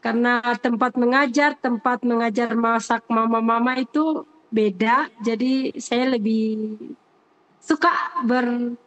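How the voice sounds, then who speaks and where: Woman, fairly high voice, teacher in interview, earnest, halting, repeats herself. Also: fairly even intonation, fairly bright tone, lo-fi sound, a little hiss or hum. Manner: earnest, hesitant, repeats phrases. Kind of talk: unscripted interview answers.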